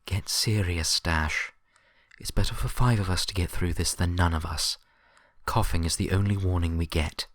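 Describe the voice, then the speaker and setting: A man's voice: low voice, normal speed, no room sound, studio quality, close to an everyday voice. A man voicing a stern, pragmatic female unicorn librarian in a story, reasoning firmly and grimly. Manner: sharp-edged, reasoning firmly.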